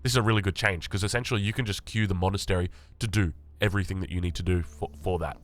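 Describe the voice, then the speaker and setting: A young Australian man with a raspy voice, sick and just woken up, chatting casually as he reads through game patch notes. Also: low voice, steady tone, rapid-fire. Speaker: gaming channel host.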